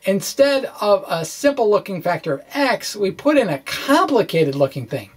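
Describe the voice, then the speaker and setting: A man giving an informal science lecture in a conversational, somewhat halting way with chatty asides. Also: sits high, big pitch swings, breathy edge. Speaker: physics explainer.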